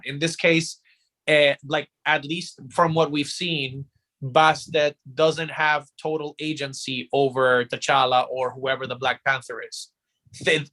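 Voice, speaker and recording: A man's bright, forceful voice, set a bit higher than average. He hosts in a casual, explanatory way, circling back and repeating words as he works out his point. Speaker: podcast host.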